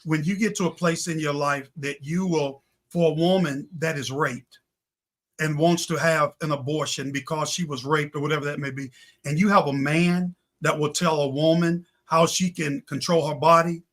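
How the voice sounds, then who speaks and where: A man with a high, forceful voice talks like a pastor on a talk show. He builds his points in repeated, preacherly phrases and speaks with conviction. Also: fairly even intonation, normal speed. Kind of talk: impassioned political and faith talk.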